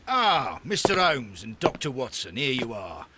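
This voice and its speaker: An actor playing a deferential, matter-of-fact Victorian police inspector in a video game, with a husky voice. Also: male voice, mid-range voice, big pitch swings, measured pace, heavy background noise. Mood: earnest, matter-of-fact. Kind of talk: scripted video game acting.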